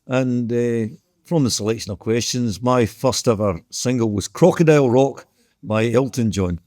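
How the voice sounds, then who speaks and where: A man in his sixties giving a hesitant introduction with filler pauses. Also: mid-range voice, a little mellow, dry recording, studio quality. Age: 60s. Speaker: music fan guest. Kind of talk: casual panel introduction.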